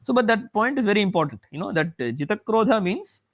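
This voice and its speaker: High-voiced man, rambling lecture. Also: sing-song, fast. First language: Tamil. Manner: rambling, filled with "you know" and restarts. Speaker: mathematics professor giving a talk. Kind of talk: lecture-style talk.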